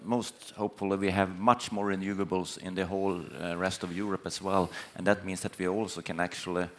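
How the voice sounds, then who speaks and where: A man's voice: low voice, flat delivery, brisk, a little hiss or hum. A man speaking earnestly and emphatically in an unscripted panel discussion, in a conciliatory way. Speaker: panelist, energy company chief executive. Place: conference stage panel.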